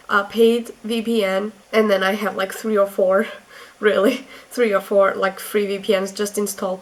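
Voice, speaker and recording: A woman's voice: mid-range voice, fairly bright tone. A young woman from Portugal with a Portuguese accent, giving chatty advice in a casual vlog, full of fillers. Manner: chatty, many fillers and restarts. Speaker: vlogger.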